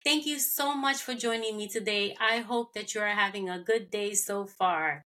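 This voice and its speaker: A woman from New York hosting a podcast interview, bubbly and chatty, laughing often and agreeing quickly. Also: mid-range voice, pushed and bright. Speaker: podcast host. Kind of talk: casual interview chat.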